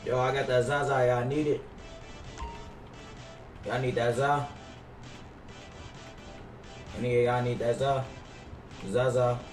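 A man's voice: medium pitch, flat delivery, measured pace, darkish tone. A young American man chatting casually on a game livestream, slangy and full of swearing. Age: young adult. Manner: slangy, profane. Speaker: game streamer. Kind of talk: casual livestream chat while playing a role-play game. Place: indoor room. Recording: roomy, distant mic, music behind. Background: music, car sounds from the game.

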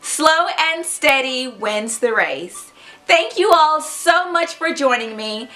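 A woman in her thirties hosting a children's read-aloud, with an expressive, clearly paced, teacherly storybook delivery. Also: sits high, slow pace, faint music behind. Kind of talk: storybook narration to young children. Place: furnished room indoors.

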